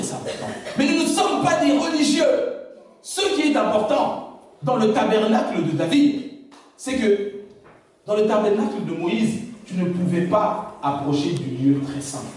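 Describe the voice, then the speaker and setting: A man with a fairly high voice preaches a sermon in a large, very echoey church. His native language is Lingala, and he keeps asking the congregation whether they understand. He is heard from some distance, with faint background noise. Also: a little mellow. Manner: preaching with rhetorical questions to the congregation. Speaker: pastor.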